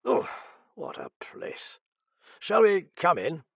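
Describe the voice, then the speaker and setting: Storybook English knight, acted by a male reader: nervous, alarmed, pitched up and swinging widely, rough-edged. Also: huge pitch swings, normal speed, a bit bright, no room sound, heavily acted. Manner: fumbling, alarmed questioning. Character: nervous bearded English knight, thick-voiced and shaken.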